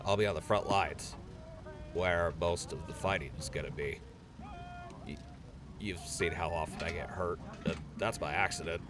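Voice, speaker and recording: A man's voice: low-pitched, normal speed, long pauses, close to an everyday voice. A man playing a nervous, earnest young infantry soldier in an audio drama, shy and hesitant.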